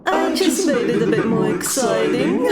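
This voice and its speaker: Woman voicing a slow, smug fae trickster. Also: sits high, sing-song. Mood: smug teasing. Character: smug, teasing fae trickster feigning kindness.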